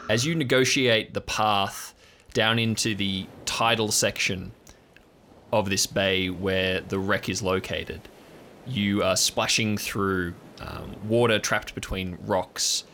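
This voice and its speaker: Bright, low-pitched man as game master. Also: steady tone, slow pace, a little hiss or hum. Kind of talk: hosting and narrating improvised audio drama.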